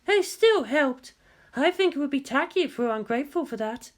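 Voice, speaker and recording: Woman acting an earnest, high-voiced young girl. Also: lively intonation, moderate pace. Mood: anxious, earnest.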